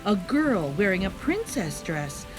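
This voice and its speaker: A woman's voice: medium pitch, unhurried, music in the background. A woman narrating a children's story expressively, as a read-aloud host with a playful manner. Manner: animated, with playful asides.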